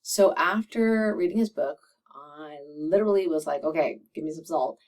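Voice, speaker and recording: A middle-aged woman with a slightly raspy voice, a doctor chatting to camera, laughing often and using many fillers. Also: sits low, moderate pace, a little mellow, distant mic. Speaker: medical doctor and video host. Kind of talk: casual monologue to camera.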